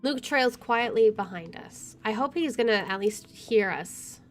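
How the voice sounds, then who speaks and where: A woman's voice: a little high, animated, a little slow, soft background music. A woman hosting a gaming video, reading visual novel narration aloud with casual asides.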